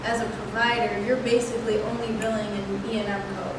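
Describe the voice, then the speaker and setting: A woman's voice: medium pitch, flat delivery, fairly quick. A woman on a panel, a health provider, explaining billing and rules in a technical way. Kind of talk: panel answers. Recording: echoey hall from far off, loud noise.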